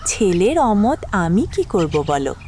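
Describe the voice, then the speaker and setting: A woman's voice: medium pitch, big pitch swings, takes it slowly, background noise. An actress playing an easygoing middle-aged mother in an audio drama, coaxing and mild.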